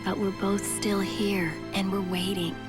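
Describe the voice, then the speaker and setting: An actress playing a gentle, patient mother and faithful wife in a video game drama, tender, measured and soothing. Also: medium pitch, flat delivery, close to an everyday voice. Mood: tender, understanding. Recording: loud music behind the voice. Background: loud background music.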